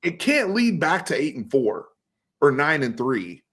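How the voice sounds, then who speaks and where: A man in his thirties from Texas hosting a casual sports talk stream, animated and fast, often repeating words as he builds his point. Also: on the high side. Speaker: host.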